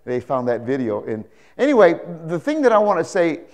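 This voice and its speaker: A man's voice: fairly high pitch, wildly swooping pitch, a little fast, a little mellow. A man in his sixties preaching as a pastor, telling anecdotes emphatically, repeating phrases and checking that listeners agree. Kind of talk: animated sermon with anecdotes. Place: indoor church stage, speaking to a congregation. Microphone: lapel mic.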